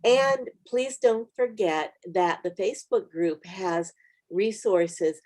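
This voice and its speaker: A woman's voice: mid-range voice, slow, dark tone. A middle-aged woman co-hosting a Bible study lesson, talking in an earnest, encouraging way and offering practical tips to group leaders. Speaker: Bible study author and co-host. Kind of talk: casual teaching conversation.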